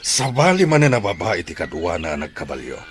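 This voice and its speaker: Older ranch patriarch, male actor, deep, suspicious, Ilocano accent, radio drama over clear music. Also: big pitch swings, normal speed. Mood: suspicious, questioning.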